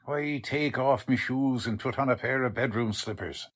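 An actor playing a gruff, folksy police sergeant in 1940s radio drama style. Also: male voice, mid-range voice, normal speed, natural voice. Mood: puzzled. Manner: folksy, colloquial, blustering. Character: gruff folksy male police sergeant, bossy yet easily baffled.

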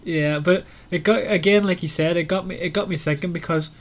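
A man's voice: sits high, fairly even intonation, a little fast. A man hosting a casual book discussion in a conversational, questioning way. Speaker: podcast host.

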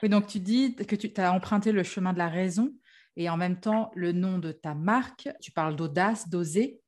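A woman hosting a podcast interview, friendly and quick to correct herself mid-question. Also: on the low side, background noise. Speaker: podcast host.

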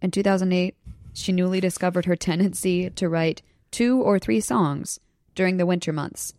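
An excitable young woman from Southeast Alaska chats on a podcast and shares trivia with gleeful enthusiasm. Her voice sits on the low side, and the sound is close and dry with no room echo. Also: normal speed. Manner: excited, storytelling, breaks into laughter. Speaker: podcast guest. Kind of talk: casual chat.

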